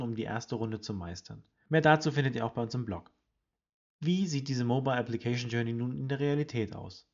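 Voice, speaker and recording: A man hosting a weekly HR news podcast, reading a scripted report in a brisk, informative way and addressing listeners directly. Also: mid-range voice, a little mellow, muffled recording. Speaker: podcast host presenting HR study results.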